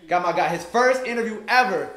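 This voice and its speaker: A man's voice: high voice, expressive, brisk, fairly bright tone. A man hosting a casual hip-hop interview in a recording studio, talking with hype and enthusiasm. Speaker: interview host. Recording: echoey studio room.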